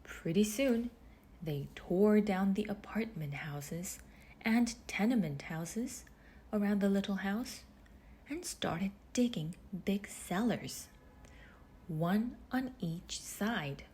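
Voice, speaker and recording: A woman reading a children's storybook aloud in measured phrases with deliberate pauses, her husky voice turning breathy as phrases end. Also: mid-range voice, expressive, dark tone. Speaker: storybook reader. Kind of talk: children's read-aloud narration.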